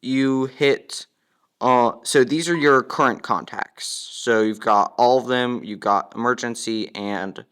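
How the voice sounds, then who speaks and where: A man's voice: mid-range voice, steady tone, slow, a bit bright, smooth. Plain-spoken man giving a step-by-step demo. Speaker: product demonstrator, ham radio operator. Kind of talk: casual step-by-step demonstration.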